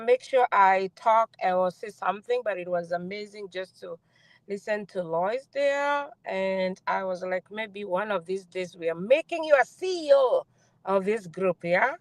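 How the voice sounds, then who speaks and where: A woman's voice: medium pitch, no echo, rough recording. A woman with a Kenyan accent chatting casually as a participant in a group call, speaking from inside a parked car. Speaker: participant in a group online meeting. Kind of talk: casual introduction and chat. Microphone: phone.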